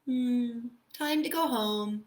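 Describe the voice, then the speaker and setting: High-pitched, unhurried woman hosting children's storytime. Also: reverberant. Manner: expressive, addresses young children directly. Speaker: children's storytime host and singer. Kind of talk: children's storytime read-aloud and sing-along.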